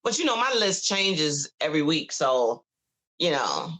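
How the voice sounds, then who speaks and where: A woman's voice: deep voice, big pitch swings, a little slow, a bit bright, low-quality recording. A woman over fifty, a guest on a podcast, talking casually and often repeating words as she searches for what to say. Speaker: podcast guest, cigar brand ambassador. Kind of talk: casual chat.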